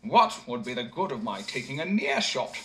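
A man voicing a proud storybook marksman who boasts quietly and breathily. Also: medium pitch, big pitch swings, normal speed, a little hiss or hum. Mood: boastful.

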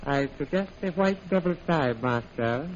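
An actor playing a servile henchman in an old radio serial, reporting to his master in a formal, measured, deferential way. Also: a little high, animated. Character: obedient, deferential male henchman of a villain. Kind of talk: 1930s radio serial acting. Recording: muffled, noisy vintage radio recording.

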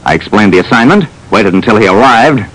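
A man playing a brisk, self-assured private detective who narrates an old radio drama. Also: fairly low pitch, lively intonation, fairly bright tone, a little hiss or hum, poor audio. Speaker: narrator and lead. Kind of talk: 1940s radio acting.

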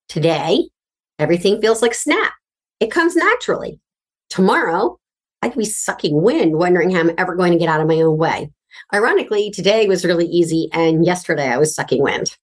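Middle-aged female host, low voice, candid and chatty monologue with wry asides. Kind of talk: personal storytelling monologue.

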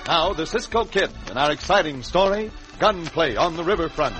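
A man's voice: on the high side, lively intonation, moderate pace, rough recording. A man narrating a vintage radio drama as its announcer, in a dramatic, scripted storytelling manner. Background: music.

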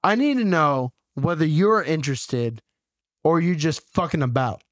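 A man's voice: fairly high pitch, animated, moderate pace, no echo, clean studio sound. A man in his twenties hosting a podcast, rambling and restarting as he chats casually. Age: 20s. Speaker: podcast host. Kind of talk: casual chat.

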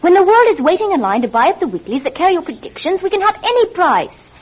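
An actress in a radio drama playing a bossy, cold-blooded, scheming sister. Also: female voice, very high voice, very animated, quick pace. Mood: urgent. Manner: domineering, conniving, insistent. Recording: dull vintage radio recording, faint music.

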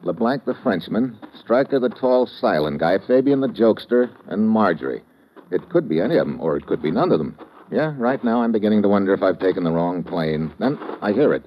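An actor playing a wry, hard-boiled American investigator, terse and deadpan, narrating an old-time radio thriller. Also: male voice, fairly low pitch, fairly quick, slight background noise, lightly acted. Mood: tense, terse. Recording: muffled vintage radio recording, dramatic music.

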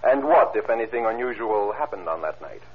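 An actor playing a formal, methodical courtroom prosecutor in 1930s radio style, questioning deliberately and crisply. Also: male voice, lowish voice, animated, natural voice. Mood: formal, probing. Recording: muffled old radio recording.

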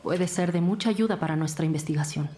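A woman's voice: lowish voice, fast, warm tone, slightly rough. A woman playing a firm, businesslike federal police officer in an audio drama. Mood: professional. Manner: firm, direct, professional. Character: stern, businesslike federal policewoman leading an investigation.

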